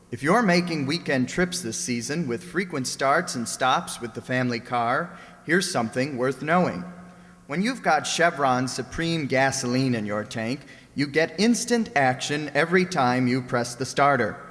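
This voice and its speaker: A man's voice: medium pitch, a bit bright. A man announcing a recreated old-time radio show in a scripted style. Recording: echoey hall with noticeable noise.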